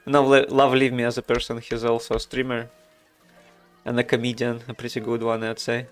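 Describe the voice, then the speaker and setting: A man's voice: medium pitch, completely flat delivery, fairly quick, soft background music. A man from Russia with a Russian accent, chatting casually while streaming a game, thinking aloud with many fillers. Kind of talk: casual gameplay commentary.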